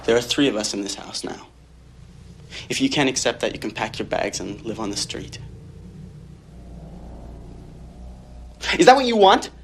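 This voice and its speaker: An actor playing a volatile, domineering young man in a film drama, speaking intensely and emphatically, quick to flare up. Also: on the low side, fairly even intonation, vocal fry, a bit bright, a little hiss or hum. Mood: cold, controlling. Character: volatile, domineering young man, fiercely devoted to his companion.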